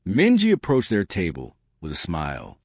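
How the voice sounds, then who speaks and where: A man's voice: normal speed, dry recording, clean studio sound. A man narrating a scripted story, lifting his voice for the characters' lines. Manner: lively storytelling, shifting pitch for quoted characters.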